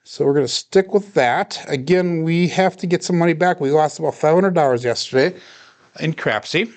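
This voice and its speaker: A man calmly narrating his own craps dice practice on a livestream, walking viewers through each bet and roll. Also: fairly high pitch, normal speed. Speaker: craps practice live streamer. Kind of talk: casual play-by-play livestream narration.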